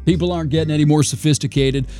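Raspy-voiced male podcast host. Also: on the high side, fairly even intonation, racing, warm tone, faint music behind. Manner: reflective, rambling, with restarts. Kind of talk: solo podcast monologue.

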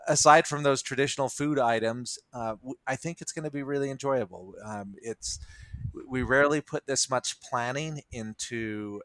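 A man's voice: mid-range voice, moderate pace, a bit bright. A man with a mid-range voice co-hosts a podcast, reflecting in a loose, thoughtful way. He hesitates and restarts his sentences often. The recording is dry, with no room echo. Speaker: podcast co-host. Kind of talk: casual podcast chat.

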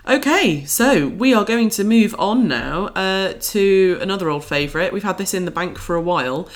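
A woman's voice: mid-range voice, expressive, moderate pace. A woman hosting a podcast in a jokey, giggly way, chatting casually and sometimes reading facts aloud. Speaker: podcast host.

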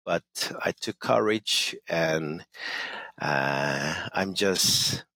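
Man with a gravelly low voice. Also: extremely slow, bright, forceful tone, dry recording. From Korean-American. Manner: earnest, hesitant, many fillers and restarts. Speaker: emcee and motivational speaker, guest. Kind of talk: radio interview, casual answers. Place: radio studio.

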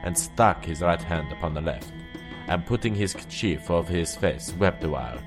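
Man, low pitch, solemn storyteller, dramatic archaic narration, loud music behind. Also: lively intonation, normal speed. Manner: solemn, formal archaic delivery. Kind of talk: dramatic scripted narration of an old tale.

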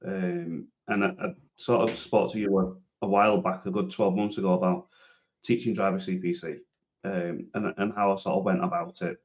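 A deep-voiced man in his thirties talks through his career in a relaxed podcast interview. He rambles and restarts often, thinking aloud as he goes. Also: moderate pace. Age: 30s. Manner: rambling, many restarts and fillers, self-deprecating. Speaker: interview guest, transport manager and driver trainer.

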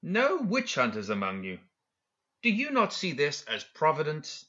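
A man acting a smug, suave professor villain, bright-voiced. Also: fairly high pitch, wildly swooping pitch, measured pace, highs cut off, pitched above the performer's own voice, exaggerated voice. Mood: smugly assured. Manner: formal, courteous, mocking. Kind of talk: audiobook character acting.

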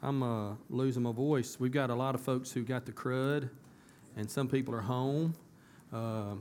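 Hoarse pastor, folksy. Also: male voice, medium pitch, steady tone, measured pace, a little mellow, slight background noise. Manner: folksy, conversational, rising to emphatic. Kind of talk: casual church announcements and sermon. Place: church sanctuary.

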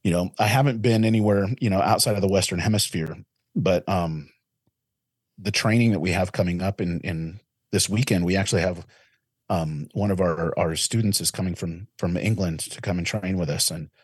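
Deep husky-voiced man, earnest. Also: normal speed, no room sound, clean studio sound. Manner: earnest, reflective, with repeated restarts. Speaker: interventionist guest. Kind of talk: casual interview talk.

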